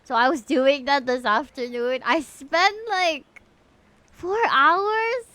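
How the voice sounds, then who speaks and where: A woman's voice: sits high, slow, pushed and bright, no echo. A woman, chatting casually and informally to viewers on a livestream. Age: 30s. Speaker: streamer and VTuber.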